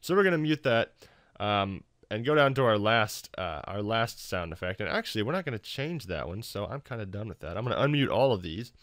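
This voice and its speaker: A man with a fairly low voice hosts a software tutorial. He talks informally, with a wry, self-deprecating manner. The sound is dry and close, with little room around it. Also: sing-song, brisk, dry recording. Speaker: tutorial host. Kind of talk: casual software tutorial narration.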